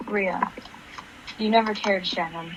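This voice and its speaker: A woman's voice: medium pitch, fairly even intonation, slow pace, slight background noise, natural voice, poor audio. A woman playing a troubled, grieving divorced mother in a radio play, subdued and brooding. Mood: bitter.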